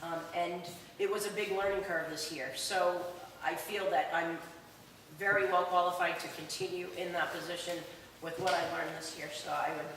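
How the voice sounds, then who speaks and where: A woman's voice: on the low side, steady tone, moderate pace, fairly bright tone. Distant woman in an echoing hall. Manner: hesitant, many um fillers. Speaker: school board candidate. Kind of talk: campaign speech at a public meeting. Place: large echoey public hall. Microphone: no microphone, far from the recorder. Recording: roomy hall echo, distant and noisy.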